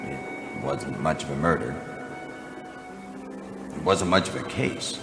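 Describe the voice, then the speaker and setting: Low, deadpan hard-boiled private eye. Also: male voice, slow, reverberant, loud music behind the voice, exaggerated voice. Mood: wry, weary. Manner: deadpan, wry. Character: weary, hard-boiled male private eye, cynical and wry. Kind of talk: 1940s radio noir parody narration.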